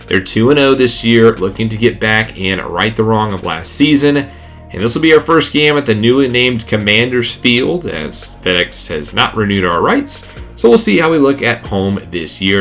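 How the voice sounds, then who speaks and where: A stuffy, congested man giving excitable play-by-play commentary over a video game, rising on big plays, then recapping the week as a gaming YouTuber. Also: lowish voice.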